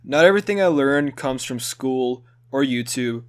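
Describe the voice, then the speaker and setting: A young man whose first language is Hungarian talks through his school video project. His voice sits at an ordinary middle pitch, and he speaks loosely and casually, dropping in little fillers as he goes. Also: moderate pace, smooth. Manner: relaxed, conversational, with filler words.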